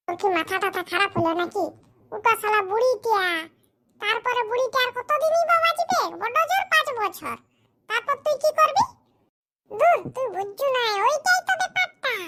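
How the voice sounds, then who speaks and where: A performer voicing a squeaky, indignant young man in a comedy cartoon, bickering. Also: bright, forceful tone, heavily acted. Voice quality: squeaky, artificially high voice. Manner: scolding, bickering, rhetorical questions. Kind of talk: exaggerated comic cartoon dialogue in rural dialect.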